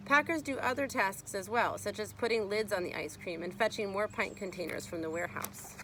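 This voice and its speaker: A woman's voice: mid-range voice, brisk, a bit bright, slight background noise, low-quality recording. A woman reading a children's nonfiction book aloud as a teacher would, explaining and speaking to young listeners.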